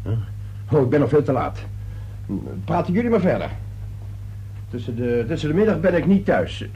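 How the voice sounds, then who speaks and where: An actor playing an irritable, defensive middle-aged businessman father in a radio play, with a gruff, impatient, blustering manner. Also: on the high side, sing-song, normal speed, mellow, highs cut off. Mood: defensive, irritated. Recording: noisy old radio play recording.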